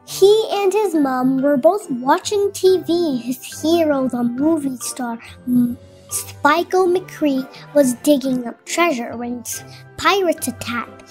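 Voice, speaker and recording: A woman storyteller with a strikingly high, almost childlike pitch narrates for young children. She takes her time and draws words out expressively, with children's music playing underneath. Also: slow pace, low-quality recording. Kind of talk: read-aloud children's picture book narration.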